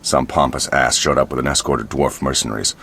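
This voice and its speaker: A man playing a gruff, scornful monster hunter in a video game, curt and sardonic in his delivery. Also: extremely low pitch, fairly even intonation, fast talker, fairly bright tone, slight background noise, heavily acted. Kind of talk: video game dialogue acting.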